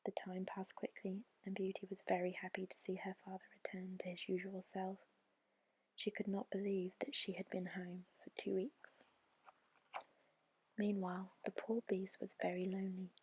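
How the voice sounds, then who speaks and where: A woman whispering a bedtime story in a soft, breathy, unhurried and soothing ASMR style. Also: medium pitch, completely flat delivery. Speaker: storyteller, ASMR channel host.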